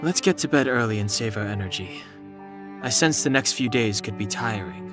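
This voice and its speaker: Man voicing a calm, serious young game hero. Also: lowish voice, music in the background, natural voice. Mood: cautious. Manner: measured, sober, understated. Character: calm, serious, guarded young male traveler. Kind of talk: restrained video game voice acting.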